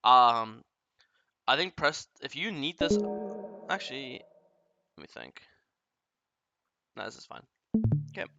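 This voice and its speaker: A man's voice: medium pitch, lively intonation, creaky, sharp tone, no room sound, lo-fi sound, faint music behind. A young man coaching a player through a game replay, talking bluntly and often swearing as he explains. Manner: blunt, profane, rapid explaining.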